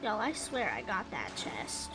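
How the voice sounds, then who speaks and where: A woman's voice: mid-range voice, huge pitch swings, measured pace, rough recording. A woman giving casual, chatty gameplay commentary, playfully talking to herself as she plays. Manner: chatty, playful self-talk. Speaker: gaming streamer. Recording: game music behind the voice. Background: loud video game music.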